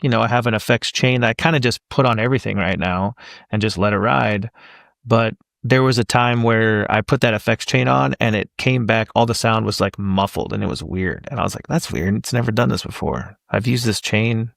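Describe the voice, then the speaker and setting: A man in his thirties chats casually as a podcast guest, circling his thoughts with fillers and restarts. His voice sits at an ordinary pitch with a gravelly edge, recorded dry and close. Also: a bit bright, no room sound. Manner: rambling, thinks aloud with many fillers. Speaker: voice actor guest.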